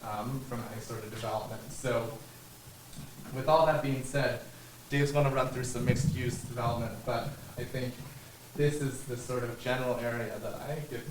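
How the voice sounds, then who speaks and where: A man's voice: mid-range voice, little pitch movement, moderate pace, background noise. A man from a land conservation group speaking hesitantly at a public panel, with many fillers. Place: library meeting room. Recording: echoey room, far from mic.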